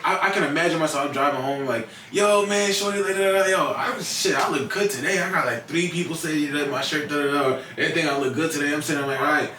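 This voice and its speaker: Animated young man telling stories. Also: fairly high pitch, quick pace, few pauses. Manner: animated storyteller, acts out quotes, repeats words. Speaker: podcast co-host. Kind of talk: casual chat. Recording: roomy, distant mic, faint noise.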